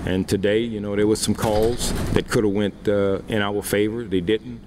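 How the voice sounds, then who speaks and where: A middle-aged baseball manager answering reporters' questions at a post-game press conference, plain-spoken and unhurried with many fillers, into a desk microphone. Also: male voice, lowish voice, flat delivery, background noise.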